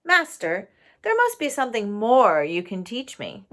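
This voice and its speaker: Restless, ambitious storybook seeker played by a woman: emphatic, insistent, pitched up, swinging intonation. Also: wildly swooping pitch, a little slow, fairly bright tone, heavily acted. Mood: impatient pleading.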